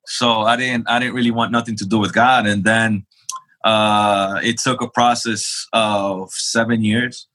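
A man's voice: fairly low pitch, little pitch movement, measured pace, sharp tone. A young adult man from Queens, New York, a pastor telling his testimony in a casual interview, talking conversationally with fillers and restarts. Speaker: pastor, podcast guest.

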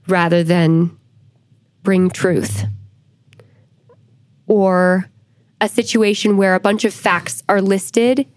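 Slow, measured woman explaining. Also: mid-range voice, darkish tone, no echo. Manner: measured, deliberate. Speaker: church historian guest. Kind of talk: explanatory podcast discussion.

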